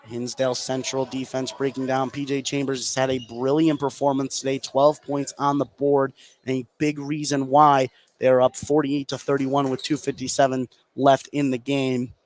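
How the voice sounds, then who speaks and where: A man's voice: mid-range voice, completely flat delivery, moderate pace, darkish tone. An American man doing live radio play-by-play of a basketball game, following the action in running detail. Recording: clear gym noise behind commentary. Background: basketball game ambience.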